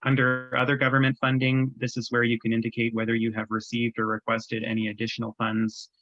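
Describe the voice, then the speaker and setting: A Canadian man presenting on a government webinar, walking through an application form in a measured, explanatory way with frequent ums. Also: medium pitch, monotone, a bit bright, dry recording, muffled recording. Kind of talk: webinar walkthrough. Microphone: video call.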